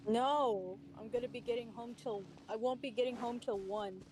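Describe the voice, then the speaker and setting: A woman's voice: a little high, moderate pace. A woman chatting casually in an offhand, everyday way. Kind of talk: casual chat. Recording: noisy chat audio with clicks.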